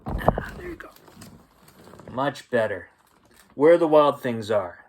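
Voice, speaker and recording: A man reading a children's picture book aloud as a storyteller, expressive and unhurried, with gentle asides to the listeners. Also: mid-range voice, background noise. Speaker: bedtime story reader and host.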